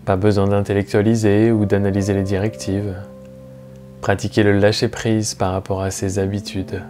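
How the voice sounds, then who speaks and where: A low male voice leads a guided meditation. He speaks slowly and soothingly, leaving long, measured pauses between phrases. Soft plucked guitar music plays faintly behind him. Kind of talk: guided relaxation narration.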